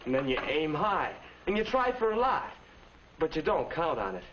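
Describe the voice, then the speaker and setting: A young man playing an anxious, earnest, polite high school boy in a 1950s instructional film, speaking deferentially. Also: very animated, moderate pace. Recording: muffled, noisy 1950s film soundtrack.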